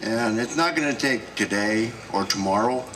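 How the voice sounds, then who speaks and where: A man speaking at a gathering in a slow, halting way, with many pauses and fillers. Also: on the low side, fairly bright tone. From South Dakota, United States. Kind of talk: halting public address. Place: outdoor gathering. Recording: distant mic with noise.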